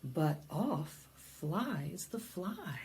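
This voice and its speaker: Slow, animated woman reading to preschoolers. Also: lowish voice. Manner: sing-song, dramatic, asks children questions. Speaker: library storytime host. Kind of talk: animated preschool read-aloud with singing.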